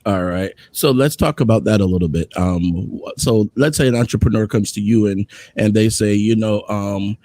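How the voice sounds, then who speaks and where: A middle-aged man hosting a casual interview over a video call, asking questions in an unhurried, halting way, close to a desktop microphone. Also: on the low side, mellow, no room sound. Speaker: host, interviewer.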